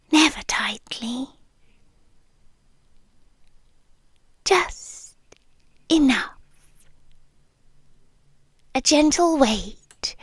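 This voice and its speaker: A British woman playing a centuries-old, possessively devoted monster girlfriend in an intimate ASMR roleplay, speaking softly and often slipping into breathy whispers. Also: high-pitched, no room sound, clean studio sound, full character voice. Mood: gentle, possessive.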